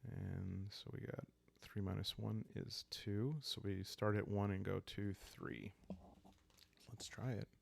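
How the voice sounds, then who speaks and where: Low, gravelly man, calm and slow. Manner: calm, unhurried, halting, thinking aloud. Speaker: host and solo player of a journaling roleplaying game. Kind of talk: calm solo game narration in ASMR style.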